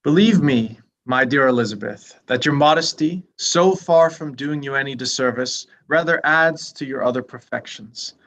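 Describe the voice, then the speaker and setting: A man's voice: mid-range voice, normal speed, few pauses, fairly bright tone, lightly acted. An actor playing a pompous, self-important young clergyman in a staged reading of a period drama, speaking formally and at length. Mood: pompous, earnest. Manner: pompous, long-winded, formal.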